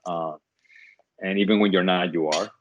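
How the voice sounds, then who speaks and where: A man's voice: low voice, fairly even intonation, moderate pace, slight background noise, poor audio. A man in his thirties from the Dominican Republic, a startup CEO answering interview questions thoughtfully, with many fillers and restarts.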